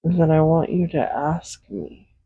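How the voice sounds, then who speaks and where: A man's voice: a little high, flat delivery, slow pace, slightly rough, dry recording, narrow, tinny audio. A man playing a tender, possessive villain in an intimate roleplay audio drama, speaking in a soothing, coaxing, reassuring way. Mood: earnest, seductive. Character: tender, possessive villain comforting a captive loved one. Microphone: close USB condenser microphone.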